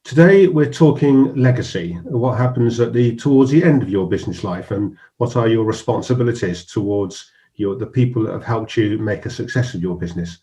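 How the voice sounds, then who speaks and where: A man's voice: medium pitch, brisk, warm tone. A middle-aged man hosting a business talk show, interviewing a guest in a friendly, hesitant way with frequent restarts and fillers. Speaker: host.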